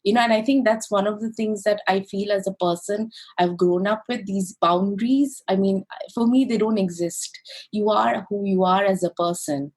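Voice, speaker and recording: Reflective woman with slightly husky voice. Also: medium pitch, fairly even intonation, moderate pace, darkish tone. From India and Greece. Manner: reflective, with frequent "you know". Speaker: interview guest. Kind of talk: conversational personal storytelling.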